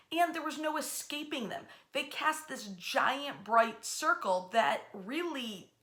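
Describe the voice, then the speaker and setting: A woman with a fairly high, very bright voice narrates history as if it were a bedtime story. She is an expressive storyteller who talks straight to the listener as host.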